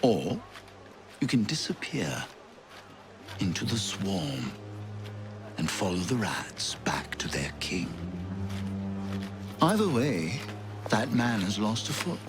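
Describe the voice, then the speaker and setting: Sly theatrical french marquis in a game, slow and drawn out, very swoopy, loud music under it. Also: male voice, lowish voice, sing-song, heavily acted. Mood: sly, sardonic. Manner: florid, teasing, drawn-out phrasing. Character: theatrical, decadent, slyly amused middle-aged French marquis. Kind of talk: dramatic video game acting.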